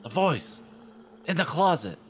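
A man's voice: a little high, big pitch swings, measured pace, a little hiss or hum, voice pushed up. A man voicing a frightened, hysterical young girl who pleads with her twin in a storybook read-aloud. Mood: anxious. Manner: stammering, shrieking, pleading.

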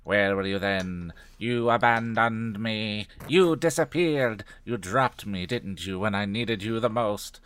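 A man's voice: lowish voice, moderate pace, hardly any pauses, bright, forceful tone. A man voicing a resentful young woman from a storybook, spitting out wounded accusations. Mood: raging, wounded. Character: abandoned, resentful young woman raging at her friend.

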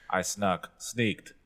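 Male podcast reader with a low voice, casual and playful, mixing book narration with asides. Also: steady tone, very slow, a bit bright. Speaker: podcast co-host. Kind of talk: reading a novel aloud with joking asides.

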